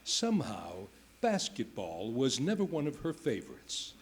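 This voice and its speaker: A man's voice: medium pitch, huge pitch swings, a little slow. A man playing a 1940s radio announcer who introduces programs and sponsors with a theatrical salesman's delivery. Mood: polished, promotional.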